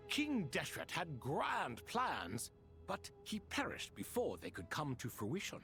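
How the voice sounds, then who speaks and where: An actor playing a cryptic ancient servant of a desert king in a video game, with a grandiloquent, deliberate delivery. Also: fairly high pitch, a bit bright, full character voice. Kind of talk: dramatic video game voice acting.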